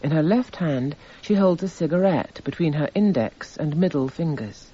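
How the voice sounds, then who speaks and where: Radio drama narrator, measured, detached, mellow, slightly high pitch, somewhat dull recording. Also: male voice, breathy edge. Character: detached male narrator listing evidence items.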